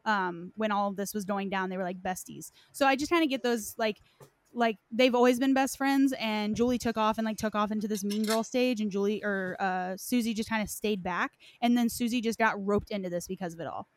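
A woman's voice: mid-range voice, fairly quick. A woman in casual podcast conversation about game lore, phrasing loosely with lots of "like" as a filler. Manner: hesitant phrasing with frequent "like". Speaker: podcast speaker.

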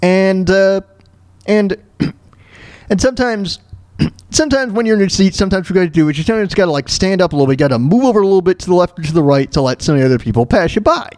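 A man in his thirties hosting a talk show alone, telling stories and ranting casually in a halting, repetitive way with frequent throat clearing. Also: high-pitched, lively intonation, a little mellow, dry recording. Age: 30s. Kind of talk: casual monologue, ranting and personal storytelling.

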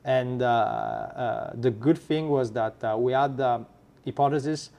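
A man with an Italian accent, a startup founder being interviewed, who talks haltingly with many fillers and restarts. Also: medium pitch, very animated, creaky, a little mellow. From Italy. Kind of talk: podcast interview.

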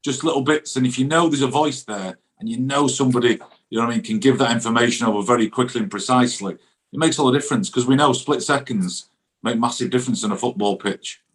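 A man's voice: mid-range voice, fast talker. Middle-aged man chatting football. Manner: chatty, colloquial, often adds "you know what I mean". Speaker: former professional goalkeeper, guest pundit. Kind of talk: casual football chat.

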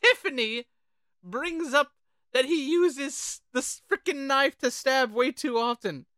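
A podcast co-host talking casually and informally about films, animated and sweary. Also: high voice, measured pace, no room sound, studio quality. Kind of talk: casual film discussion.

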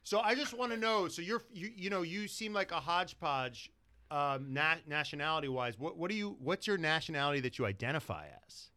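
A man's voice: a little high, a little fast, pushed and bright. A man hosting a casual comedic interview, joking as he talks and often restarting his sentences. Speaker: podcast host.

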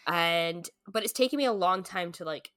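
Female podcast co-host, late 20s, slightly low voice, informal book-club chatter with loose, filler-heavy phrasing. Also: moderate pace, pushed and bright, dry recording. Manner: informal, frequent "like" as filler, mild swearing. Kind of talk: casual book-club chat.

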